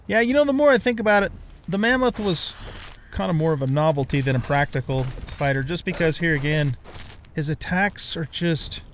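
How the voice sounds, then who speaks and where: Higher-voiced man chatting over gameplay. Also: animated, normal speed, slight background noise. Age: middle-aged. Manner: chatty, thinking aloud. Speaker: gaming let's play host. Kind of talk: casual gameplay commentary.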